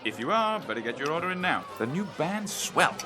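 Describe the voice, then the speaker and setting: An actor in a radio drama playing a jovial, self-satisfied speakeasy playboy with old-fashioned slang, music and a crowd behind him. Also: male voice, fairly high pitch, very animated, brisk. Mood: breezy.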